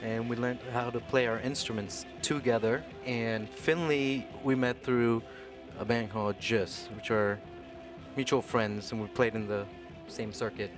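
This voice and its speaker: A male drummer tells relaxed stories in an interview. He was born in Sri Lanka and grew up in the Emirates and London. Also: medium pitch, unhurried, music in the background. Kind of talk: casual interview answers. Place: music club.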